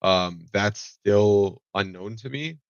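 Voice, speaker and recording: A man's voice: fairly low pitch, takes it slowly, creaky, dry recording. A man with a nasal, allergy-stuffed voice giving casual reaction commentary, rambling with many ums and restarts and swearing offhand. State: nasal from allergies, slight cough. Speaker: commentary vlogger. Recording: dull, lo-fi home recording.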